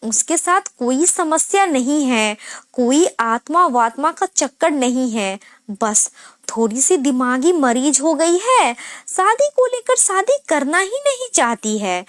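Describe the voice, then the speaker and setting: A woman's voice: high-pitched, lively intonation, slow pace, no echo, studio quality. A woman voicing a dismissive, defensive husband who protects his sister, in a narrated story. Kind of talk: acted dialogue in a narrated story.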